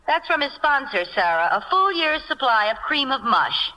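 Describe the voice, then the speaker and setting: An actress playing a wry, brisk and capable secretary with a dry, familiar manner in a 1940s radio comedy. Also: female voice, high voice, big pitch swings, pushed and bright, exaggerated voice, low-quality recording. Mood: wry amusement. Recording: vintage radio broadcast recording.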